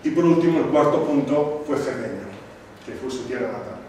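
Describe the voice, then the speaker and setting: Hombre con acento sardo en sala con eco. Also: male voice, mid-range voice, darkish tone. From Sardinia. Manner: thoughtful, with many pauses and restarts. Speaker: film presenter. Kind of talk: film talk to an audience. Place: cinema club screening room. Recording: echoey room, distant mic, noisy.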